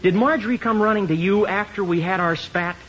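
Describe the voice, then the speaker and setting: An actor playing an earnest young husband, pointed and insistent, in 1950s radio comedy style. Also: male voice, high-pitched, fairly quick, a bit bright. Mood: indignant, accusing. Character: indignant, earnest young husband, prosecutorial and sarcastic. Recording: noisy, muffled 1950s radio recording.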